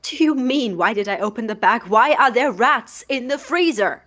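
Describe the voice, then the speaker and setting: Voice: medium pitch, animated, normal speed, fairly bright tone. A performer playing an exasperated, blunt male roommate in an audio drama, incredulous and sardonic in manner. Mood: irritated, demanding. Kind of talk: naturalistic audio drama acting.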